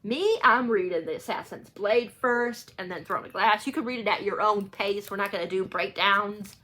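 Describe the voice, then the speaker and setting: Bright, chatty woman vlogger. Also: medium pitch, moderate pace. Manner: rambling, informal, frequently sidetracked. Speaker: book channel vlogger. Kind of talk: casual chatty vlog to viewers.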